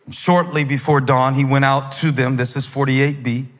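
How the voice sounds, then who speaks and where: A man in his forties preaching a sermon on a church stage into a handheld mic, telling a story and then building to loud, fervent emphasis. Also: mid-range voice, steady tone, moderate pace. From United States. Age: 40s. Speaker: pastor. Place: large stage in a church hall. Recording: long hall reverb.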